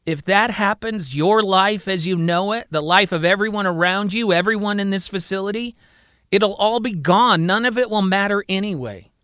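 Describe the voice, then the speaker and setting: A man's voice: sits high, moderate pace, fairly bright tone, smooth, no echo, pitched above the performer's own voice. A man voicing an insistent, pleading male president in dramatised podcast storytelling. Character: urgent, pleading male president trapped in a patient's body.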